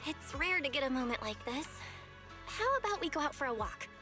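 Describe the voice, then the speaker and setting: A woman's voice: high-pitched, very animated, fairly quick, music in the background. An actress playing an upbeat, caring young woman in a game, reassuring and friendly.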